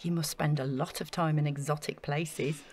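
An eager young council worker in an audio play. Her voice sits fairly low for a woman. She is chatty and gushing, quick to put herself down. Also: fairly even intonation, a little mellow. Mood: admiring, curious. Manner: gushing, chatty, self-deprecating. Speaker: actor in an audio drama. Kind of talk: naturalistic audio drama acting.

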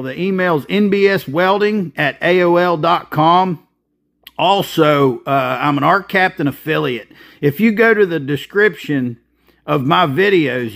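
A man's voice: on the high side, a bit bright. A man in his fifties, a welder hosting his channel, explaining his work in casual, practical how-to talk with plenty of filler. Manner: plainspoken, practical, with frequent filler. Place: welding shop and outdoor work site.

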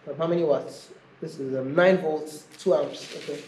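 A man's voice: on the high side, fairly even intonation, normal speed, darkish tone. A man casually hosting an unscripted unboxing video, chatting informally and thinking aloud as he reviews a product. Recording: echoey room with clear background noise.

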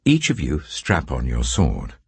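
A man's voice: a bass voice, huge pitch swings, a little slow, gravelly, breathy edge, dry recording, heavily acted. A man voicing a commanding outlaw leader. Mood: wrathful.

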